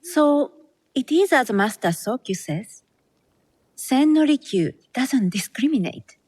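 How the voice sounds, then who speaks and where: A woman's voice: high-pitched, animated, slow pace, darkish tone, breathy edge, no echo, heavily acted. An actress playing a snobbish, catty noblewoman in a video game, condescending and mock-polite. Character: haughty, catty, snobbish noblewoman from a rich family. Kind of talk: video game cutscene acting.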